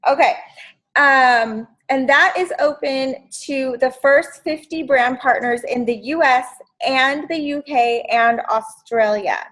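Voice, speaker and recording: A woman's voice: high voice, slow pace, a bit bright, low-quality recording. A woman in her fifties hosting a sales training video call, chatty and encouraging. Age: 50s. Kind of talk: casual video call meeting.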